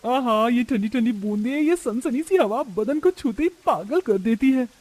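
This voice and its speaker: A man's voice: very high-pitched, animated, moderate pace, a little mellow, pitched above the performer's own voice. A man voicing a brazen, vain young modern daughter-in-law in a story, with a theatrical delivery. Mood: delighted. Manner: defiant, theatrical.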